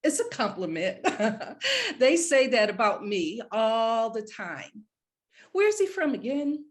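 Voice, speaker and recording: A woman's voice: fairly high pitch, very animated, takes it slowly. A woman playing a retired professor mother of sixty-five in a screenplay read, conversational, fond and reproachful with pointed questions. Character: retired professor mother, sixty-five, guilt-tripping, warm but wounded. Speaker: actor in a script read. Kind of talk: live screenplay table read.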